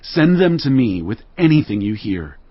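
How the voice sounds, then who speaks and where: A man's voice: mid-range voice, big pitch swings, moderate pace, slightly rough. An actor playing a weary, humble but commanding exiled king in a dramatized audio Bible. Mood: commanding, planning. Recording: dull audio over music bed.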